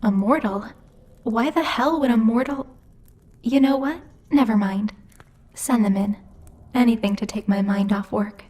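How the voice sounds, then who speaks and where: A woman's voice: fairly high pitch, unhurried, long pauses, a little mellow, a little hiss or hum, lightly acted. A woman playing a bossy, haughty demon lord who teases and mocks imperiously in a theatrical ASMR roleplay. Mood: irritated. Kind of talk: ASMR roleplay, scripted character acting.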